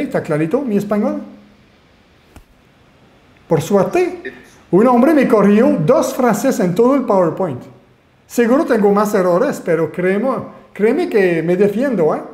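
A man's voice: high voice, echoey room. French-Canadian man hosting a webinar. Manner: animated, asks the audience questions. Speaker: online marketing mentor. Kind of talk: webinar presentation.

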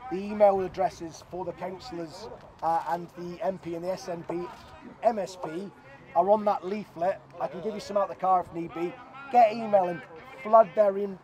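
A middle-aged man organising a protest, urging people through a megaphone with a practical, pushy manner. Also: high voice. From Scotland. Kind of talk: street protest speech. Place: outdoors by a hotel car park. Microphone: handheld megaphone. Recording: outdoor megaphone with crowd noise.

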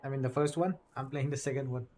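A man's voice: mid-range voice, steady tone, fast, a little mellow, slight background noise. A man chatting casually with viewers as he streams a video game. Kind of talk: casual chat to viewers during gameplay.

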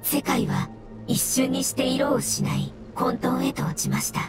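A woman voicing a gentle, melancholy floating mushroom spirit in an anime game, with polite, solemn delivery. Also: high voice, flat delivery, measured pace, music in the background. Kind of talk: Japanese anime game voice acting.

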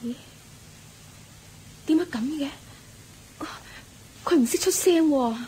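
An actress playing an excitable, naive laywoman devotee in a radio drama, fussy and full of exclamations. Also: high voice, slow, slight background noise, poor audio. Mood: startled. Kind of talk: Cantonese radio drama acting.

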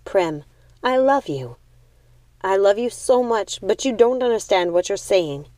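A woman's voice: medium pitch, expressive, unhurried, dark tone, no echo, natural voice. A woman voicing an earnest, devoted young witch in a storybook, pleading and insistent about duty.

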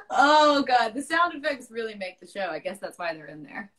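A young woman chatting casually on a livestream video call, bubbly and quick to laugh, speaking into a phone held at arm's length. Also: a little high, fairly bright tone. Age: young adult. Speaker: livestream co-host. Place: bright room indoors.

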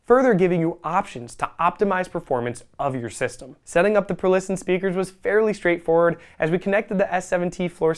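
A man in his thirties narrates a scripted product review in a polished, explanatory way. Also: high voice. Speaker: product reviewer and presenter for an audio retailer. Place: indoor showroom with acoustic panels.

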